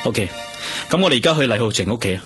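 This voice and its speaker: An actor playing an earnest, worried police detective in a radio drama, with a Hong Kong Cantonese accent. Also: male voice, medium pitch, lively intonation, fast talker, close to an everyday voice. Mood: businesslike. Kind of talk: Cantonese radio drama acting with brooding narration. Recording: loud music behind the voice. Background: music.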